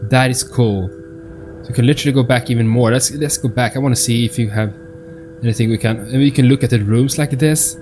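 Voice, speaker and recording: A man plays through a game and comments as he goes, in a voice of middling pitch. He talks off the cuff, thinking aloud and repeating himself. Faint game music runs behind him. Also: fairly quick, darkish tone. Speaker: gamer commentating on a game playthrough. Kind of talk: casual off-the-cuff gameplay commentary.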